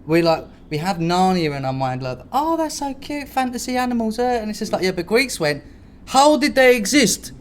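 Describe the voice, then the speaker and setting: A man's voice: sits high, very animated, normal speed. A man co-hosting a comedy podcast, chatting casually in a rambling, slangy, sweary way. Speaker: podcast co-host. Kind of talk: casual comic podcast chat. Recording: clear background noise.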